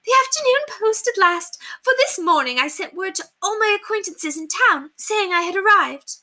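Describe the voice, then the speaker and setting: A haughty society lady from a storybook, as a woman reader acts her out, speaks in a high, swooping voice. She is gushing, imperious and theatrical, full of eager self-importance. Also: lively intonation, normal speed, sharp tone, exaggerated voice. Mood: gushing, expectant. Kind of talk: storybook character voice in a read-aloud.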